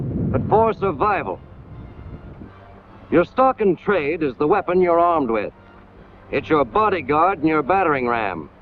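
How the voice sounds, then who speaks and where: An American man delivering scripted 1940s military training-film narration in an emphatic, hard-hitting manner with clipped phrases. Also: high-pitched. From United States. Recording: scratchy, tinny 1940s film soundtrack, loud noise.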